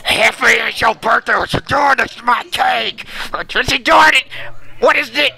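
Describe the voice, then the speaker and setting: A man voicing a frantic, possessive pet dog with shrill, repetitive, drawn-out protests. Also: gravelly, very noisy, full character voice. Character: frantic, possessive male dog guarding his birthday cake.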